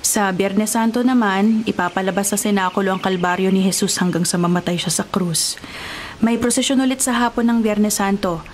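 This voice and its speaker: A woman playing a kindly, welcoming small-town nun in a Filipino radio drama, with a gentle, counseling manner. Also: medium pitch, fairly even intonation, normal speed, a little hiss or hum, close to an everyday voice.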